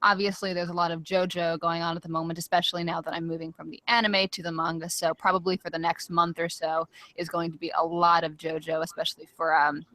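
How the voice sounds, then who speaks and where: A woman's voice: lowish voice, a bit bright. A woman who is a guest on a casual podcast and reviews anime, speaking upbeat and laughing often.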